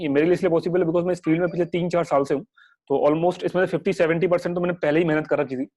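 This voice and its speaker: A man's voice: fairly high pitch, a little mellow. A young man teaching a live online class with a sore throat, chatty and reassuring, mixing English words into Hindi. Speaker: online exam-prep teacher.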